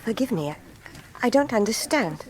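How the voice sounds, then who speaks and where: An anxious, genteel young lady acted by a woman in a radio mystery. Her voice is very high and sounds well-bred and uneasy. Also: expressive, moderate pace, darkish tone, close to an everyday voice. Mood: polite, uneasy. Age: young adult. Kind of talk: full-cast radio drama acting.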